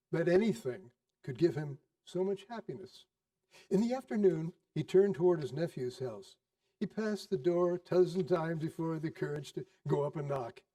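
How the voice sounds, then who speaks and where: A man narrating a storybook tale theatrically, expressive, savouring repetitions and pauses. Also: on the high side. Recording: distant microphone.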